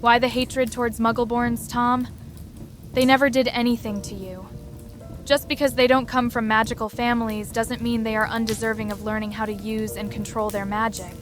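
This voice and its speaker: A woman's voice: on the high side, level tone, normal speed, music in the background, lightly acted. A woman playing an earnest, fair-minded young witch who speaks plainly in a scripted ASMR roleplay. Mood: earnest, reproachful.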